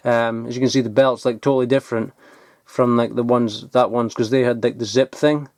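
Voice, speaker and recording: A man, chatting casually and excitedly while digging through old toys, rambling and swearing now and then. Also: medium pitch, fairly quick, no room sound, studio quality. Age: 20s. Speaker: toy collector vlogger. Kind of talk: casual unboxing chat.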